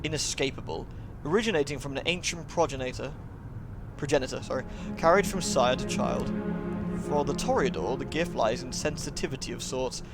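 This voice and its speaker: A man voicing a refined, courteous vampire woman in a visual novel, measured and eloquent. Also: medium pitch, over music, close to an everyday voice. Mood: explanatory.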